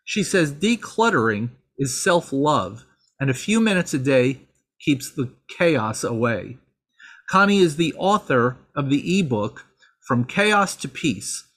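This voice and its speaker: A man hosting a podcast, introducing a guest and reading a promo in a measured way. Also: medium pitch, very animated, fairly bright tone. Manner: measured, spells out web addresses slowly. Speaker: podcast host.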